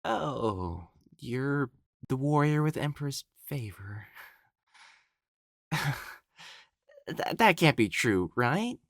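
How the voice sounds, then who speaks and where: A man performs an elegant young male matchmaker from ancient China in an audio drama. He is pompous and quickly exasperated, with highly animated pitch and a measured, slow delivery. The phrasing is formal and old-fashioned, and the sound is close and dry. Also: dry recording, exaggerated voice. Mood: shocked, flustered. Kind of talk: scripted audio roleplay acting.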